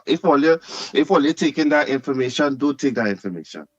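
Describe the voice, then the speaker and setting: A man's voice: medium pitch, expressive, quick pace, no room sound, highs cut off. A Trinidadian man calling in to a talk show, laughing often and repeating himself emphatically. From Trinidad and Tobago. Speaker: caller. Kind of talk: animated phone-in rant.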